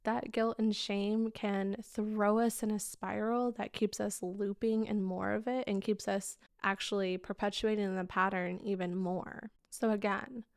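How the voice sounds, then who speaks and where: A young woman hosting a solo podcast in a chatty, casual way, her voice slightly raspy as she gets over losing it. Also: medium pitch, level tone, a little slow, hardly any pauses, no room sound, clean studio sound. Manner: warm, chatty, addresses listeners as "babes". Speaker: podcast host.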